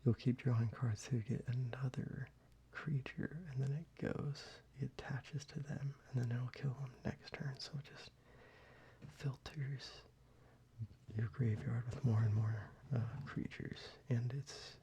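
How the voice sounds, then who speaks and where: A man whispering softly and unhurriedly, with fillers, as he shows cards to the viewer for ASMR. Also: medium pitch, fairly even intonation, measured pace, slightly rough. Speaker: ASMR creator. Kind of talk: soft-spoken ASMR card deck showcase.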